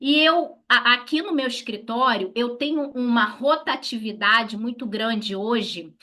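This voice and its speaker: A woman's voice: a little high, measured pace, bright, forceful tone. A Brazilian woman giving an instructional talk as a lawyer to colleagues, didactic, posing questions and answering them herself. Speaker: lawyer teaching a class.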